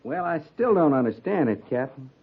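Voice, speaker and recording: Folksy cavalry sergeant, tinny old radio. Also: male voice, a little high, very animated, normal speed, slightly rough, exaggerated voice. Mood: grumbling. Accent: American. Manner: folksy, deferential. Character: loyal, plain-spoken, grumbling old-army cavalry sergeant. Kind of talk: 1950s radio drama acting. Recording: noisy, tinny 1950s radio recording.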